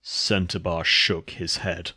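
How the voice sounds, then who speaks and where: A man's voice: deep voice, little pitch movement, takes it slowly, pushed and bright, slightly rough, no echo. A man giving a scripted narration of a horror story.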